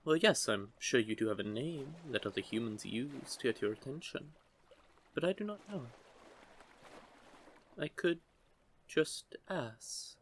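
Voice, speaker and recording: A man voicing a curious, teasing young merman in an intimate audio roleplay, with a gently formal, measured way of talking. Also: medium pitch, expressive, slightly rough. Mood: curious, polite. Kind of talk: scripted audio roleplay, intimate one-sided conversation.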